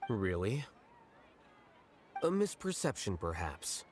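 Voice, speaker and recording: An actor playing an aloof, coolly logical young scholar-official in a video game, speaking in a measured, dry deadpan. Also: male voice, on the low side, slight background noise. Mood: cool, detached. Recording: music behind voice.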